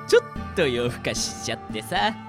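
A woman's voice: rumbling low, sing-song, brisk, over music, exaggerated voice. A woman playing a cheerfully foolish, girl-crazy teenage schoolboy in a radio drama, with breezy, boastful slang. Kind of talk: Japanese amateur radio drama acting.

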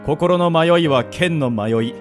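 Man playing an easygoing swordsman, lightly high-pitched. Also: slow pace. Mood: earnest. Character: easygoing, roguish male fencing instructor, polite yet teasing. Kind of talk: radio drama acting. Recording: music behind the voice. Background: background music.

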